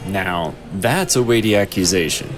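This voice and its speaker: An actor playing a wry, smooth-talking mentor from a magical order in a fantasy audio drama. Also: male voice, sits low, animated, moderate pace. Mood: wry, assured. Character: glib male member of a magical order, mischievous mentor. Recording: music under the dialogue.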